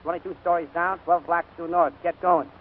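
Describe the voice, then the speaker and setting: A man's voice: on the high side, level tone, brisk, natural voice. A man playing a harried, bossy, wisecracking butler in a 1940s radio comedy. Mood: bossy, brusque. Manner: brusque, wisecracking, slangy. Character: gruff, harried male butler, bossy and exasperated older brother. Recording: scratchy, narrow-band 1940s radio broadcast.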